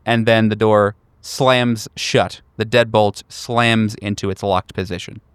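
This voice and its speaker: Slow, smooth-voiced male game master. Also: lowish voice, fairly even intonation, dry recording. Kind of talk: narrating a horror tabletop game.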